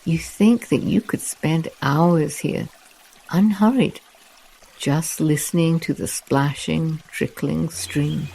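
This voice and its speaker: Slow, mellow meditation guide. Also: mid-range voice, vocal fry, breathy edge, low-quality recording. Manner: soft, soothing, unhurried delivery with long pauses.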